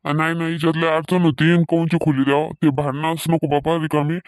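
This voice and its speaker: A practical village father from a cartoon comedy, played by a man, with a slightly high voice and very even intonation. He haggles and placates in plain rural Varhadi Marathi, sounding dry and close. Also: fast talker, no echo, lightly acted. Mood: firm, placating. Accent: rural Marathi, Varhadi dialect. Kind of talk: animated family comedy dialogue.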